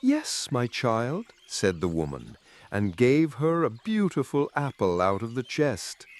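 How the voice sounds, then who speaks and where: A man narrating a dark fairy tale in a dramatic storytelling style. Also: medium pitch, wildly swooping pitch, a little slow. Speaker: audiobook narrator.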